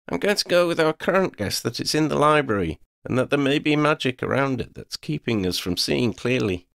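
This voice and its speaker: A man voicing a caring, brisk girl who leads a band of companions in a storybook. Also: on the high side, creaky, a bit bright, pitched above the performer's own voice.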